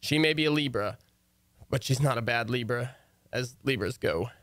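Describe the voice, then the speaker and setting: Wry radio-comedy husband played by a man, bright, close and dry, wisecracking. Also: medium pitch, moderate pace, no echo, close to an everyday voice. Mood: flustered, wry. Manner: wry, hesitant. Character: easygoing, wisecracking husband narrating his marital troubles. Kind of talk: old-time radio comedy acting and narration.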